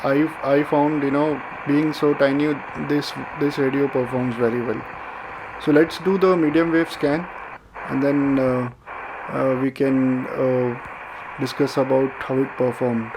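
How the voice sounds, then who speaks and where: A man's voice: mid-range voice, flat delivery, unhurried, noisy background. A man reviewing a radio, talking hesitantly and methodically with many filler sounds, recorded indoors at a desk. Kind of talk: product review and unboxing. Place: indoors at a desk with a tripod camera.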